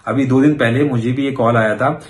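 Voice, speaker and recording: A man's voice: medium pitch, fairly even intonation, a little fast. A man hosting an informal explainer for viewers, talking conversationally and mixing in English words. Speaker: host of a banking awareness video channel.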